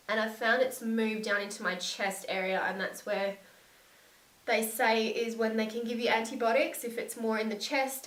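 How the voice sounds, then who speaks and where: A young woman with a slightly croaky voice from a cold, narrating a makeup tutorial and gushing softly about the products. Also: fairly high pitch, far from the mic. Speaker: beauty vlogger. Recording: echoey room, set back from mic.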